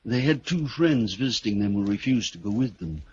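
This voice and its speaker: A man plays a solemn house seller in an old radio mystery. He speaks in a middle register, halting and foreboding like a reluctant storyteller. The 1940s recording is dull and faintly noisy. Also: lowish voice, lively intonation, darkish tone, close to an everyday voice. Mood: grave, ominous. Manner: measured, ominous, halting storyteller. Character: grave house seller telling an ominous story. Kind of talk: 1940s radio drama acting.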